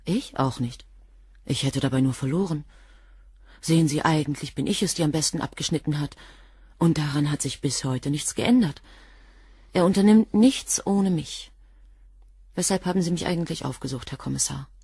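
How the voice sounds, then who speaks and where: An actress playing a cool, devoted assistant to a professor in a radio drama, answering questions in a guarded, curt way. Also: female voice, sits low, normal speed, long pauses, darkish tone, breathy edge, no echo, full character voice. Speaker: witness under questioning.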